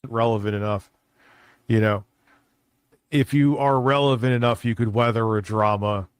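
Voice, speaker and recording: A man's voice: mid-range voice, steady tone, moderate pace, clean tone, dry recording, clean studio sound. A man talking casually off the cuff as an online creator, blunt, candid and prone to swearing. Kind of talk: casual unscripted monologue.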